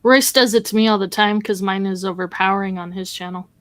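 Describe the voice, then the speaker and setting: A woman chatting casually on a group call; she calls herself naturally high-pitched. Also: fairly even intonation, fairly quick, a bit bright, dry recording. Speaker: panelist.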